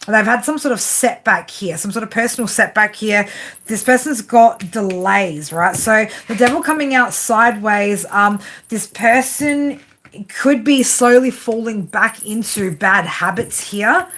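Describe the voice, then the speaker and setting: A woman's voice: mid-range voice, a little slow, fairly bright tone. A woman with an Australian accent doing a live tarot reading, rambling, swearing casually and using lots of fillers. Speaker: tarot reader.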